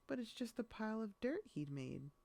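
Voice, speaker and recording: A woman reading a rhyming children's picture book aloud in an expressive storytelling style. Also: a little high, moderate pace, creaky.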